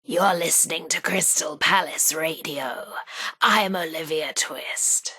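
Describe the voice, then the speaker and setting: A vintage radio hostess from a video game, genteel and theatrical. Her voice is breathy and husky with a creaky edge, at a medium pitch. She presents at an unhurried pace, with a flirty, teasing lilt. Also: sharp tone, heavily acted. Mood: genteel, playful. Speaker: radio host. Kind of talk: old-time radio presenting with playful banter.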